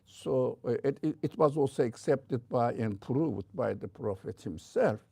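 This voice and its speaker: An older man with a heavy Turkish accent giving an informal religious lecture as a teacher, in a halting way with frequent pauses. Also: mid-range voice, lively intonation, vocal fry, dark tone, slightly rough, no echo. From Turkey.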